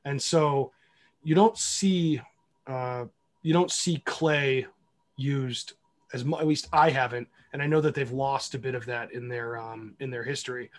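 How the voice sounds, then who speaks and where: An American man speaks as a panelist in a casual discussion. His voice is mid-range in pitch. He is opinionated but often qualifies his points as he goes. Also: fairly even intonation, a little slow. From Cleveland, United States. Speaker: panelist, wine documentary filmmaker. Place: remote virtual panel call.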